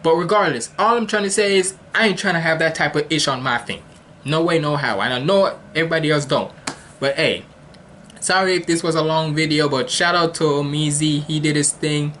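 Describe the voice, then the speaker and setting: A man's voice: on the high side, fairly quick, a bit bright, slight background noise. A man from Jamaica hosting a reaction video, talking casually and rambling with many restarts and slang.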